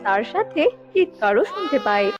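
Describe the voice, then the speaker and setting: A woman's voice: high voice, big pitch swings, moderate pace. A woman narrating a scripted children's cartoon story. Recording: dull lo-fi audio with music bed. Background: music.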